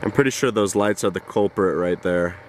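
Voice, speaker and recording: A man's voice: deep voice, fairly quick, a little hiss or hum. A man doing casual, off-the-cuff commentary as a shortwave radio hobbyist, recorded outdoors in a backyard. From United States.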